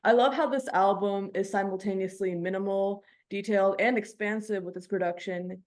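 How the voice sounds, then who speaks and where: A woman radio DJ putting prepared interview questions in a measured, articulate way with formal wording. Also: medium pitch, steady tone, a little mellow.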